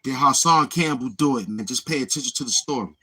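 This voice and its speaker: Husky, swaggering trash-talking man. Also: mid-range voice, quick pace, bright, forceful tone, low-quality recording. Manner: swaggering, taunting, repeats himself. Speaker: YouTube channel host. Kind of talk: boastful trash-talking livestream monologue.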